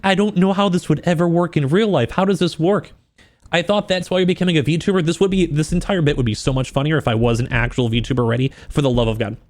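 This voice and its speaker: A man with a slightly high voice reads and talks to a livestream chat. He is informal and self-deprecating, with joking, crude asides. His reading is more measured, while his commentary is looser and quicker. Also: steady tone, darkish tone. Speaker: streamer reading a novel aloud and reacting to it for chat. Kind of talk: casual livestream book reaction, mixing reading aloud with commentary.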